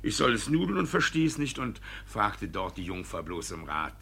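A man's voice: lowish voice, big pitch swings, normal speed, gravelly, a bit bright, breathy edge, full character voice. A man playing a shifty, flustered, blustering village judge in a classical verse radio drama, stammering and pompous. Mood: flustered, evasive.

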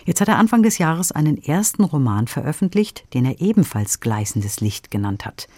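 Female book-programme host, soft-edged timbre with animated intonation, scripted moderation. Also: low-pitched, moderate pace, no echo. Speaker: radio presenter of book reviews.

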